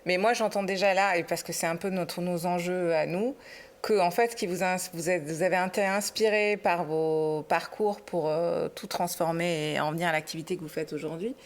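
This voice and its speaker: A woman's voice: lowish voice, steady tone, moderate pace. A woman hosting a podcast, interviewing in a casual conversational way. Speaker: podcast host.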